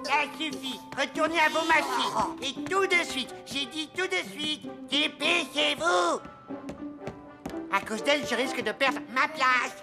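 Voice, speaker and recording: A woman's voice: high voice, animated, a little slow, over music. A woman voicing a fretful, bossy little Christmas elf, fussy and anxious, in a French children's cartoon.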